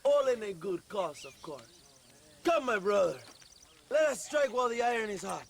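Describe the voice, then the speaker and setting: An actor playing a grandiose, self-important Mexican revolutionary leader in a game cutscene, with a Mexican accent and theatrical, halting delivery. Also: male voice, high-pitched, very animated, gravelly, heavily acted. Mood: lofty, jovial. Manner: pompous, theatrical, halting repeats. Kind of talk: video game cutscene acting.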